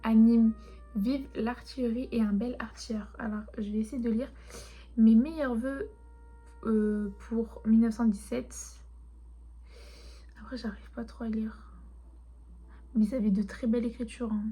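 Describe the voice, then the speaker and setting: Soft-spoken young woman, half-whispering. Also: a little high, fairly even intonation, measured pace, pauses often, breathy edge. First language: Turkish. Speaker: vlogger. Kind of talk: casual chat showing souvenirs.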